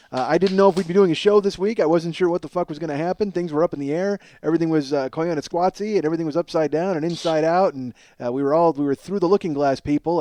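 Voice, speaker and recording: A man in his forties with a slightly hoarse voice, hosting a podcast as a casual comedic monologue, rambling, profane and self-deprecating. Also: a little high, a little hiss or hum. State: slightly hoarse from strained singing. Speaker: podcast host.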